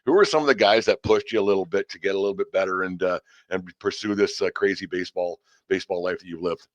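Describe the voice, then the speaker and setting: Fast-talking low-voiced man hosting. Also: no echo, highs cut off. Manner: rambling questions, circling around the point. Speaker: sports podcast host. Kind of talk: casual interview chat.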